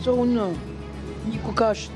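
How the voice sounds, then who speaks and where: A woman's voice: a little high, fairly even intonation, a little slow. A woman doing informal vox-pop interviews, asking persistent, coaxing questions. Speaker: street interviewer. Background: music.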